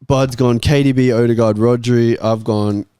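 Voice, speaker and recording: A man's voice: mid-range voice, steady tone, normal speed, mellow, smooth, no echo, clean studio sound. A man hosting a casual football fan panel, speaking in an informal conversational way. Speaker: host of a football fan talk show.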